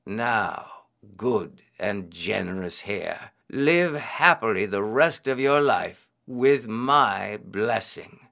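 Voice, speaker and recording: A man voicing a storybook god disguised as a hungry priest, drawn-out and declamatory. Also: lowish voice, lively intonation, vocal fry, bright, forceful tone, exaggerated voice. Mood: solemn, kindly.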